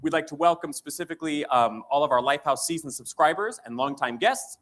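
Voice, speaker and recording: A man's voice: on the high side, expressive, fairly quick, a bit bright. Man giving a gracious formal welcome. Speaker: co-host.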